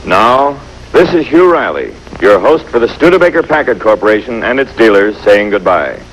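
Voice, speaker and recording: Lively 1950s American male TV announcer. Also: mid-range voice, normal speed. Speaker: television host announcer. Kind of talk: 1950s scripted sponsor announcement. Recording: faintly noisy vintage TV soundtrack.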